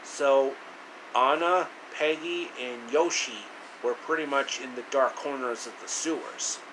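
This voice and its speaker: A man casually retelling a story in a halting, informal way. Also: mid-range voice. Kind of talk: casual retelling of a fairy-tale parody. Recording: steady air-conditioning noise.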